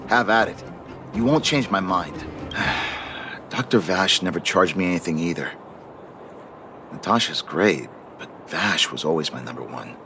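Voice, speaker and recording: Lowish-voiced, glum fatalistic man. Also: lively intonation, measured pace, long pauses. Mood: wistful. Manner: resigned, sighing, defensive. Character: glum, fatalistic hypochondriac male patient. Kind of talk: video game character acting. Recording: music behind the voice.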